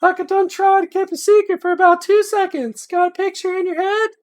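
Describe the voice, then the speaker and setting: A man's voice: extremely high pitch, fairly even intonation, a little fast, voice pushed up. A man voicing a fond, teasing woman council member.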